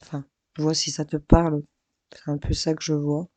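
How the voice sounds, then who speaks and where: Hoarse woman reading tarot, flat and dry. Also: sits low, moderate pace, dry recording. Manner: chatty, hesitant, addresses viewers as friends. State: hoarse, losing her voice. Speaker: tarot card reader. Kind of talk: daily horoscope tarot reading. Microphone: mic placed farther away.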